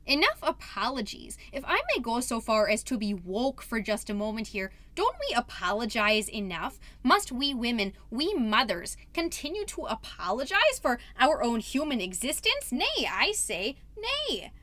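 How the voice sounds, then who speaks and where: A woman's voice: fairly high pitch, very animated, normal speed, hardly any pauses, pushed and bright, no echo. A woman playing an earnest, anxious suburban mom podcaster in a rambling, self-doubting comedic monologue that tends toward grand declarations. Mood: defiant, excited. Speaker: podcast host character.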